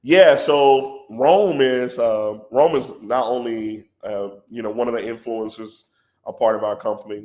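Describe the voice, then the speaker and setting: A man with a head cold and a congested voice, a company president talking as a podcast guest in an animated, persuasive way. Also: on the low side. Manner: animated and persuasive, says "bro" a lot. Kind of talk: casual podcast conversation.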